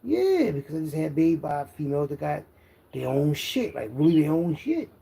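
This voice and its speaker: A man's voice: fairly high pitch, very animated, moderate pace, vocal fry, mellow, slightly rough. A man talks casually and self-assuredly in rambling, slang-heavy street monologue, boasting as he goes. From Philadelphia, United States. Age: 50s. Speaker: internet content creator. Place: outdoors, birds chirping behind. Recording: outdoor background noise with birdsong.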